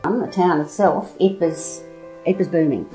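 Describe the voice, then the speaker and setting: An older woman from Sydney with an Australian accent, reminiscing in an articulate, measured way. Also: on the low side, mellow, soft background music, poor audio. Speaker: interviewee, local resident. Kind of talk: casual reminiscing.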